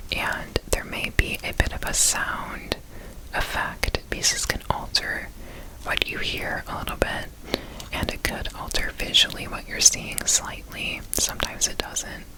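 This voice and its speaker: A woman speaks entirely in a breathy whisper, taking her time with each phrase. She is gentle and reassuring, like an examiner in an ASMR roleplay, and often repeats small words in a soothing, unhurried way. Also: rough recording. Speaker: ASMR performer playing an alien researcher.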